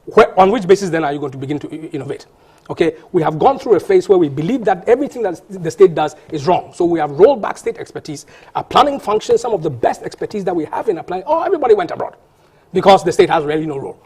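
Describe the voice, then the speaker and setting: A high-pitched man, who talks fast and keeps pushing his point. He stumbles, restarts and repeats words as he argues on a TV panel, with a husky voice. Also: sing-song, darkish tone. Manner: insistent, argumentative, many restarts and repeated words. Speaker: panel guest. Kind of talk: heated TV panel debate.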